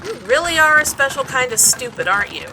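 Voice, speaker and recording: A woman playing a plucky, sharp-tongued and sceptical girl adventurer in an audio drama, dryly sarcastic. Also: sits high, sing-song, fast talker, noisy background. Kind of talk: full-cast audio drama. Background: music.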